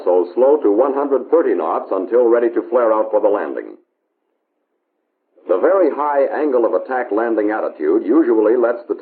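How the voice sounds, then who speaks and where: Tinny 1950s American male film narrator. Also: on the low side. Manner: measured, authoritative, instructional. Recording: tinny band-limited 1950s film soundtrack, hiss.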